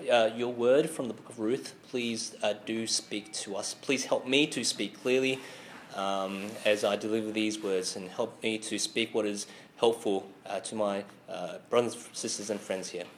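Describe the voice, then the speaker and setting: A man's voice: fairly low pitch, fairly even intonation, unhurried, a bit bright, a little hiss or hum. A man who is sick, preaching a sermon with many fillers and restarts. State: sick, voice a bit off. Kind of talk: sermon to a student group.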